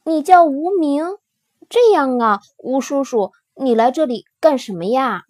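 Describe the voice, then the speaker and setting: A woman voicing a tearful, sweet little boy spinosaurus in a children's storybook, with a high squealing childlike voice. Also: lively intonation, measured pace, a little mellow, no room sound, clean studio sound. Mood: curious.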